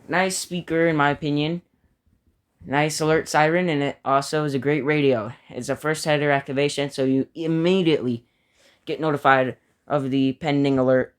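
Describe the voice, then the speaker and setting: A man's voice: on the high side, fairly bright tone, clear, resonant tone. A man giving casual, opinionated commentary as a hobbyist ranking things, in an informal and slightly self-deprecating way. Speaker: hobbyist video creator.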